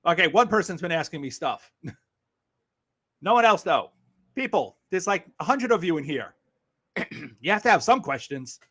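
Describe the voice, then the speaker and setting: New York man, livestream host; blunt, sarcastic, swears freely, slightly high pitch. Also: big pitch swings, normal speed, pauses often, bright, forceful tone, breathy edge. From Brooklyn, New York. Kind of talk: casual live-stream chat.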